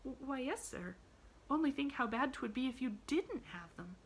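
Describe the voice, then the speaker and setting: A woman's voice: sits high, animated, normal speed, breathy edge, pitched above the performer's own voice, full character voice. A woman voicing an eager, talkative, glad little girl from a storybook, rambling earnestly with a rising inflection. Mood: cheerful.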